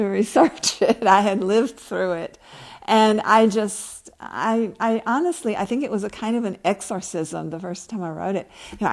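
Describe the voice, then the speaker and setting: A woman's voice: mid-range voice, creaky. A woman novelist speaking at a book event, reading from her work and answering questions.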